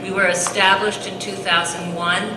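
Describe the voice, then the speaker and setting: A woman with a fairly low voice moderating a public seminar, reading introductions slowly with frequent ums. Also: flat delivery. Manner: reads introductions with frequent ums, slow and deliberate. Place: high school hall. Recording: echoey hall recorded from far off, noisy.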